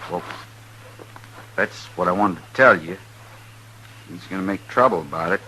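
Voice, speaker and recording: A meddling American townsman from a radio Western, low-voiced and sharp-edged. He is folksy and hesitant, eager to seem friendly, on a slightly noisy 1950s broadcast recording. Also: moderate pace. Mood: uneasy, ingratiating. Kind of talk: 1950s radio drama acting.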